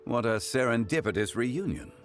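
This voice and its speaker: A man playing a serene, erudite gentleman consultant in a video game, speaking in a measured, courteous, formal way. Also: medium pitch, expressive, faint music behind, close to an everyday voice. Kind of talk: video game character acting.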